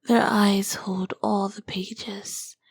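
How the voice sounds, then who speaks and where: A woman voicing an ancient, seductive succubus queen who speaks in a slow, breathy, teasing whisper. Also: mid-range voice, little pitch movement, no echo, heavily acted. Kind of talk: whispered audio roleplay.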